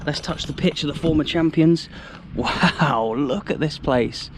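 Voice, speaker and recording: An English man narrating his football travel vlog to a handheld camera, eager and full of exclamations. His voice sits a little high. Faint outdoor noise runs under the recording. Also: a little slow. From England. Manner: enthusiastic, exclaims often. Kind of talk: excitable walking vlog to camera.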